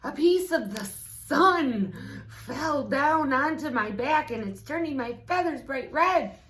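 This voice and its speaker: A woman's voice: sits high, animated, slow, a bit bright, pitched above the performer's own voice, exaggerated voice. An American woman voicing a silly, haughty female storybook goose who cries out in dramatic alarm. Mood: panicked.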